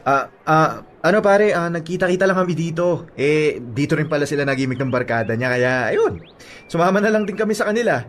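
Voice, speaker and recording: An actor playing a chummy young male drinking buddy in a Filipino radio drama, talking in a coaxing, animated way. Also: fairly high pitch, fairly quick, slight background noise, natural voice.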